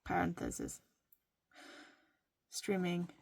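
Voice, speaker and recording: A woman from Norway, whose first language is Norwegian, chatting casually in a playful, rambling way that sometimes drops to a soft whisper while she writes in her journal. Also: lowish voice, huge pitch swings, takes it slowly, vocal fry. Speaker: vlogger.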